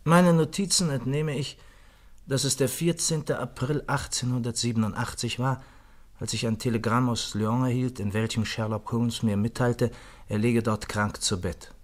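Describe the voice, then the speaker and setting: An actor playing a caring, persuasive gentleman doctor in a German radio play, solicitous in manner. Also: mid-range voice, fairly even intonation, moderate pace, lightly acted. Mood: sober narration. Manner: solicitous, coaxing, chuckling. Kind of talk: radio play acting and first-person narration.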